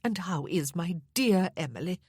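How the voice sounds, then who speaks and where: Lady doing a calm genteel old auntie, a bit higher than her own voice, husky edge. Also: moderate pace, dry recording, studio quality. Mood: placid. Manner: placid, genteel. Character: placid fond elderly lady, gently teasing aunt.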